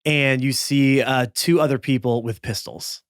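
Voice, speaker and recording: A man working as game master, improvising suspenseful narration for a tabletop western in a halting, lingering way. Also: mid-range voice, fairly even intonation, no echo, studio quality.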